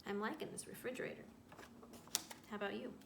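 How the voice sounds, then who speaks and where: A woman's voice: medium pitch, steady tone, brisk. A woman, a school librarian, reading a picture book aloud to children, telling it expressively with little asides to her listeners. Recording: roomy echo, clear background noise.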